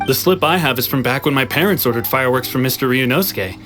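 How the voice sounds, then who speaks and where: A man's voice: mid-range voice, fast talker, a bit bright, close to an everyday voice. A man playing a timid, grateful young fugitive in an anime game, hesitant, polite and apologetic. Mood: unsure, regretful. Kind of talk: anime-style video game acting. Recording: music under the voice.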